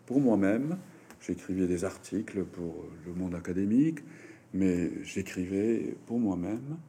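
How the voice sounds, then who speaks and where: Guy talking french in an interview, husky and lowish voice, lots of hesitant pauses. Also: expressive, dark tone. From Japan. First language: Japanese. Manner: hesitant, halting, with frequent fillers and restarts. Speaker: writer and French teacher, interview guest. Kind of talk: interview answers.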